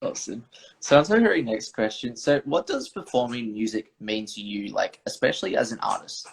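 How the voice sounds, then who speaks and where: A man's voice: mid-range voice, lively intonation, a little fast, gravelly, low-quality recording. A man hosting an interview, reading out his questions with a set phrase. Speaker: host.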